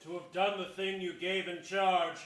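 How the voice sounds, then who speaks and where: Deferential hired murderer played by a man, slightly high voice, classical stage delivery, roomy theater. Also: level tone, moderate pace, a bit bright. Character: obedient, deferential hired murderer serving a king. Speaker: stage actor. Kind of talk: Shakespearean staged reading.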